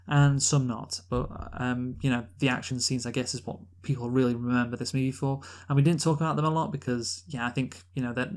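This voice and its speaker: A man co-hosting a casual, unscripted film review talk, giving emphatic opinions and repeating his points. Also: medium pitch. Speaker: podcast co-host reviewing films.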